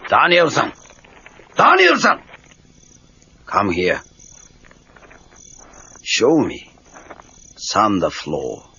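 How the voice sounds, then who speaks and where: A man's voice: lowish voice, huge pitch swings, extremely slow, long pauses, sharp tone, slightly rough, full character voice. A man playing an elderly karate master with a Japanese accent, giving terse, wry instructions in clipped broken English for a children's audio story. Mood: commanding. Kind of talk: children's read-along audio drama acting. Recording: dull lo-fi cassette tape.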